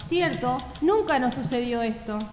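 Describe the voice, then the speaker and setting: A woman as a high-voiced restless office clerk. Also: animated, moderate pace, a little mellow. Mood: wistful. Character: restless female office clerk, apologetic, longing to travel. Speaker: amateur radio theatre actor. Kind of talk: radio play acting. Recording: echoey hall, faint noise, clicks.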